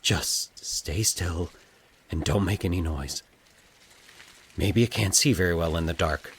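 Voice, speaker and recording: Audio fiction role: male reader playing a jittery man alone, thinking aloud in a lowish, halting mutter. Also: husky, full character voice. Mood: uneasy, hushed. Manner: halting, awed muttering to himself. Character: Mike, uneasy grown man talking to himself. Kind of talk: dramatized audio fiction dialogue.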